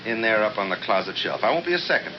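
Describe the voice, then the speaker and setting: A man's voice: fairly low pitch, very animated, quick pace. An American man acting a skeptical, placating husband in a 1970s radio drama, speaking in a casual, coaxing way. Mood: breezy. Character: skeptical, placating husband, practical and slightly nervous. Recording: dull, noisy 1970s radio recording.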